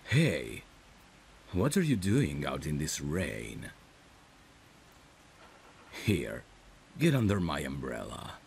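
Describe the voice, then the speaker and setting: A man's voice: rumbling low, big pitch swings, slow pace, vocal fry, pauses often, darkish tone, slight background noise. A man acting a romantic ASMR roleplay as a caring, protective friend, speaking tenderly and intimately. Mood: concerned. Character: caring, protective male friend secretly in love. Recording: rain ambience behind the voice. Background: rain.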